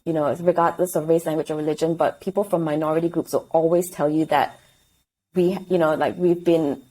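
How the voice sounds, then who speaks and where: Mellow, reflective woman guest. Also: deep voice, fairly even intonation, fairly quick, rough recording. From Singapore. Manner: reflective, hesitant, self-correcting. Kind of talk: casual interview.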